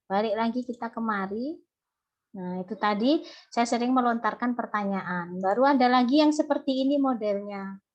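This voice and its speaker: A woman with a Javanese accent lectures to her class over a video call, pitched a little high. She explains things step by step in a chatty way, ending her phrases with a friendly "ya". The sound is somewhat muffled. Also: moderate pace. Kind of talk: online university lecture.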